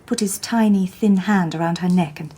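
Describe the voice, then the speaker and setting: Casting: a composed Victorian lady sleuth, husky, mellow, dry wit. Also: on the low side, normal speed. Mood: calm. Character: shrewd, composed Victorian woman detective. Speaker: lady detective narrating and speaking. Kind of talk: radio drama narration and dialogue.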